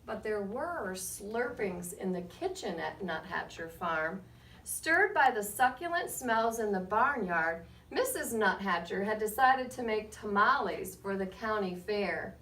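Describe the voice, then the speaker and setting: A woman reading a picture book aloud to children as a guest storyteller, with expressive, measured delivery and short asides to her listeners. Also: mid-range voice. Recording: roomy, distant mic, faint background noise.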